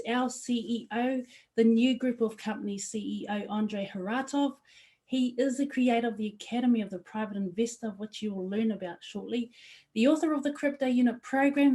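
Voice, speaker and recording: A young woman from New Zealand giving an earnest promotional presentation for her company, often pausing on ums and restarting phrases. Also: a little high, a little mellow.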